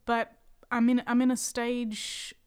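A woman's voice: on the high side, takes it slowly, smooth. Playful woman with a New Zealand accent. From South Africa. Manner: animated, playful, says like often. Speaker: guest musician. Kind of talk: casual chat.

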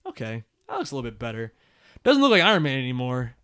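A man's voice: mid-range voice, wildly swooping pitch, fast talker, bright, forceful tone, clean tone. A man co-hosting a casual podcast, reading listener emails aloud and joking, often laughing. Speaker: podcast co-host.

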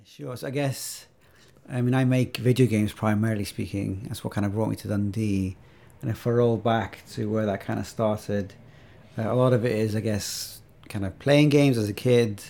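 A man's voice: on the low side, fairly even intonation, moderate pace, gravelly, mellow. A man in his thirties, originally from Iraq, talking reflectively as a podcast guest with many fillers and restarts. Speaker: podcast guest, video game maker. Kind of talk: casual interview chat.

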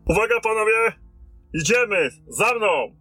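Man playing a forceful, battle-hardened fantasy lieutenant. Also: sits high, expressive, a little slow, no room sound, soft background music, heavily acted. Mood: commanding, rousing. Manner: commanding, blunt, soldierly. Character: battle-hardened, commanding, blunt fantasy lieutenant rallying soldiers. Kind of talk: fantasy audio drama acting.

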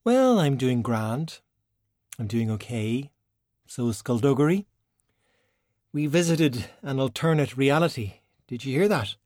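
A blunt young Irish woman in a storybook played by a male narrator. The delivery is casual and wry, slightly raised in pitch. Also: animated, a little slow, long pauses, a little mellow, dry recording, clean studio sound, close to an everyday voice.

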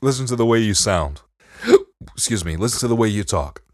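A man with a Detroit accent and a very low voice, talking in loose, profane podcast banter. He keeps it laid-back and easy, leaning on "bro". The sound is dry and close. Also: lively intonation, brisk, dry recording. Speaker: podcast co-host. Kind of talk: casual chat.

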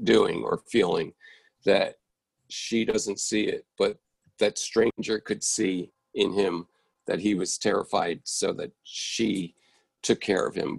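Very gravelly, low-voiced man. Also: no room sound. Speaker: audience member giving feedback on a play. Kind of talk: casual, reflective discussion.

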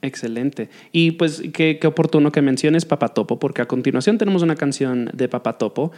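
A male radio host asking slow, searching questions full of fillers in a casual interview. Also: on the high side, darkish tone. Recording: noisy.

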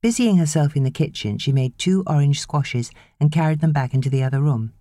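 A woman narrating an audiobook novel in an expressive, measured storytelling manner. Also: low-pitched, no room sound, clean studio sound.

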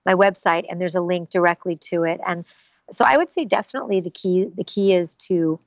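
A woman's voice: lowish voice, brisk, no room sound, muffled recording. A middle-aged woman voice actress, chatting animatedly in an interview and slipping into little character voices. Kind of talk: casual interview talk.

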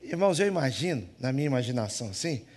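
A man with a Minas Gerais Brazilian accent, preaching a humorous sermon in church, jumping between loud emphatic lines and soft asides. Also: medium pitch, sing-song, quick pace. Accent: Minas Gerais Brazilian Portuguese.

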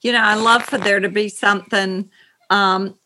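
A middle-aged woman from North Carolina with a Southern accent, speaking thoughtfully as an interview guest over a video call from her writing room, pausing and restarting often. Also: medium pitch, steady tone, pushed and bright, slight background noise, low-quality recording. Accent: Southern American. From North Carolina, USA. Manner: slow, thoughtful, with many pauses and restarts. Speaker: novelist guest.

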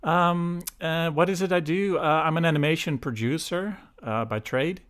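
Dutch guy on a podcast, middle-aged, keeps saying um and uh, pauses a lot. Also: fairly high pitch, a bit bright. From Netherlands. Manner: hesitant, many ums and uhs. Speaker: guest. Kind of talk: casual chat.

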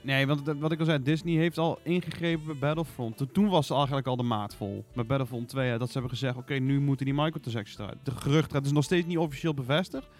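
A man with a Netherlands Dutch accent chats informally as a podcast panelist. His pitch is average and his delivery is rapid. There is music in the background. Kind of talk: casual chat, speculating about the games industry.

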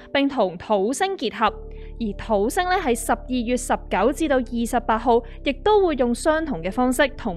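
An actress with a Hong Kong accent playing a matter-of-fact investor friend who explains things in a scripted radio drama. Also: female voice, fairly high pitch, natural voice.

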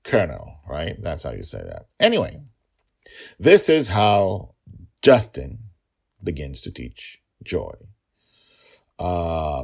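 A man with a very low, gravelly voice narrates a serial story. He has a non-native English accent that stumbles on L's and R's. His delivery is theatrical and emphatic, swinging widely in pitch. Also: a little mellow. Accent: non-native English accent, trouble with L's and R's. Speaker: host, writer and narrator of a serial story podcast.

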